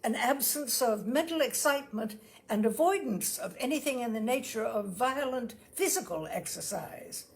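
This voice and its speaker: A woman voicing a nervous, self-absorbed gentleman who talks in an earnest, fussy, formal way in a storybook reading. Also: a little high, lively intonation, normal speed, voice pushed up.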